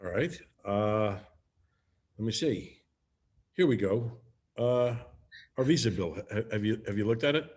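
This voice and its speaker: A man's voice: lowish voice, expressive, a little mellow. A man playing a sheepish, evasive, affectionate sitcom husband who stammers and hedges through a comedic script read. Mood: awkward, dodging. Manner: stammering, halting, hedging. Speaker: sitcom actor at a cast reunion table read.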